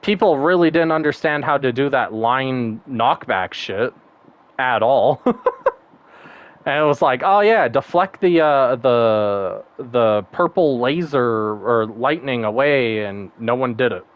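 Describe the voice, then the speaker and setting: A man's voice: mid-range voice, lively intonation, slow pace, muffled recording. A man streaming a game, chatting casually and rambling, laughing often and swearing now and then. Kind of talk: casual gaming stream chat.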